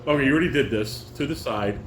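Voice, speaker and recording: A man's voice: medium pitch, fast, a bit bright. An older man, a father casually directing a table read at home, giving corrections and reading stage directions aloud. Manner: instructing, corrective. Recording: roomy home recording with clear background noise.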